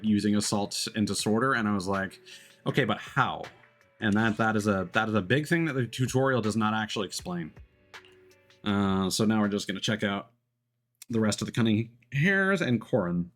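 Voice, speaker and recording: A man's voice: on the low side, expressive, normal speed, fairly bright tone. A man giving casual live game commentary, thinking aloud in an analytical, hesitant way. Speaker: streamer playing a game. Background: faint game music.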